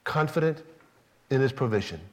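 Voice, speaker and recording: A man preaching a sermon, swinging from soft, low emphasis to raised, excited lines, sometimes acting out a biblical speaker in first person. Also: normal speed. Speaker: pastor.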